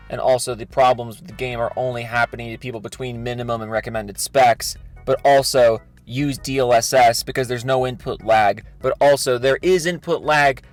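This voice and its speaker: A man giving an exasperated, sarcastic commentary rant with casual swearing. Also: mid-range voice. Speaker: video commentator.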